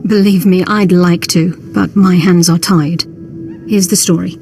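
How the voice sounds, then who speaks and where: A woman's voice: on the low side, steady tone, unhurried, mellow. A woman voicing a weary, tough, protective military officer in a video game, frank and no-nonsense. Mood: frank, exasperated. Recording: music under the voice.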